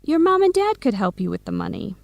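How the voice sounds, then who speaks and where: Enthusiastic best friend, high-pitched. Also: female voice, sing-song, fairly quick, dry recording, dull audio, heavily acted. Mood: concerned. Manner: clearly phrased scripted explanations. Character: Samira, Helen's enthusiastic American best friend, aspiring business owner. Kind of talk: English-learning radio drama acting.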